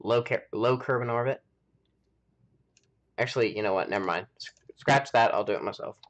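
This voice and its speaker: A man's voice: mid-range voice, moderate pace, dull audio. An American man hosting a game live stream, chatting casually and rambling as he thinks aloud. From United States. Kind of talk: casual chat while playing a game.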